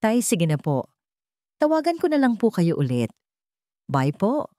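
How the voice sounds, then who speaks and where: A woman voicing a harried adult son in an audio drama, polite but hurried and evasive. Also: mid-range voice, sing-song, warm tone, no room sound, clean studio sound, voice pushed up.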